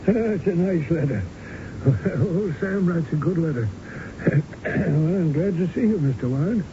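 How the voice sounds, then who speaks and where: A genial, sardonic old man from a vintage radio drama, with a fairly high voice and a hesitant, pompous delivery full of throat-clearing. The recording is muffled, with clear background noise. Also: expressive, slow. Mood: genial, chuckling. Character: ailing old American art-collecting husband, genial yet sardonic. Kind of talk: 1970s radio drama acting.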